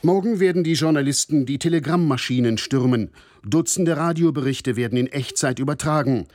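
An actor playing a sober radio news reporter in a radio play, measured and factual. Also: male voice, a little high, darkish tone, no echo, clean studio sound, close to an everyday voice.

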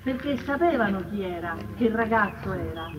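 A woman's voice: mid-range voice, animated, moderate pace, distant mic, noisy background, natural voice. An actress playing an elderly Roman woman recalling her anti-fascist childhood, telling it colloquially in a Roman accent as stage testimony. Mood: storytelling. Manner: colloquial storytelling. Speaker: stage actress in a radio theatre piece. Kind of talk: dramatised oral-history testimony in dialect.

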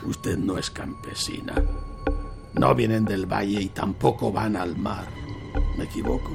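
Gravelly, rambling old farmer from Spain. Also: male voice, fairly low pitch, unhurried, over music. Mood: prying, knowing. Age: older. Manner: hesitant, stammering, repeating words. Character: poor old farmer, rambling, nosy, pleading widower. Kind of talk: Spanish radio drama acting.

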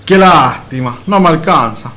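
A man's voice: fairly high pitch, animated, measured pace, pushed and bright. A man playing Pedro, a pensive, polite countryman who muses simply aloud in an amateur radio play. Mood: disappointed. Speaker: amateur radio theatre actor. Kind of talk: radio theatre acting.

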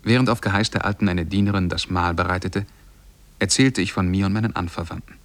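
An actor playing a lovestruck, shy young man who tells his tale in measured, poetic German radio drama style. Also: deep voice, steady tone, a bit bright, no echo. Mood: calm recounting. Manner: measured, poetic, careful diction.

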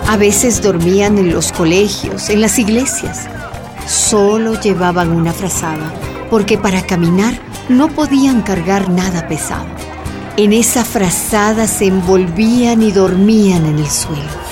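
A woman's voice: mid-range voice, unhurried. Woman narrator over music. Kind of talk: scripted radio drama narration.

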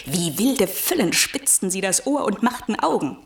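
An actress playing a mischievous, eager airy spirit in a radio play, reporting in gleeful, vivid verse. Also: female voice, medium pitch, very animated, normal speed, creaky, roomy sound.

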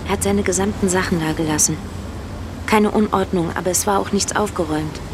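A woman acts an uneasy woman with a slightly low voice, giving an orderly account to a contact. Clear traffic-like noise runs behind her in this radio play. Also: brisk, close to an everyday voice. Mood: uneasy, earnest. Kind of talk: German radio drama acting.

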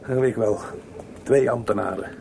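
An actor playing a nervous, evasive married man with a secret lover, pleading and coaxing in hushed agitation in a Dutch radio play. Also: medium pitch, normal speed, vocal fry, noisy background. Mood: agitated, evasive.

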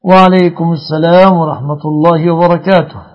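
Rapid gravelly sheikh giving rulings to callers, dull lo-fi sound, lays it out point by point. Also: male voice, fairly high pitch, steady tone. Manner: formal classical Arabic, lays out rulings point by point. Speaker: religious scholar answering callers. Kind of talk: call-in fatwa answers.

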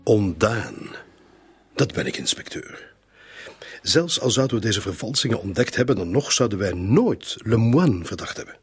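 An actor playing an indignant, formal bank director who speaks with great emphasis in a radio play. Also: male voice, fairly low pitch, sing-song, normal speed, breathy edge, exaggerated voice. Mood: aghast.

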